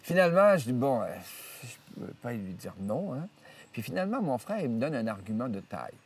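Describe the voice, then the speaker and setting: An older man, at a middle pitch, recounting his path in a meandering way full of hesitations and restarts. He sits a little away from the microphone outdoors, with faint ambience behind him. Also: a little fast, recorded from a distance, slight background noise. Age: 70s. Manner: rambling, many hesitations and restarts, lively retelling of dialogue. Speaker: priest interviewed about his life. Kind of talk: storytelling interview. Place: outdoors in nature.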